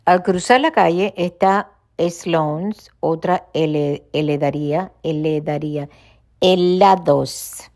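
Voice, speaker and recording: A woman's voice: low voice, slow, a little mellow. A woman who grew up in Los Angeles, rambling cheerfully on a walking vlog at night, often changing topics and laughing at herself. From raised in Los Angeles. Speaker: vlogger. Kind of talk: casual vlog walking tour. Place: outdoor street corner at night.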